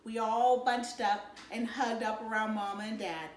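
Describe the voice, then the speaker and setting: A woman reading a children's novel aloud in an animated, dramatic storytelling manner. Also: a little high, unhurried. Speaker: read-aloud narrator. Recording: roomy, distant mic, faint noise.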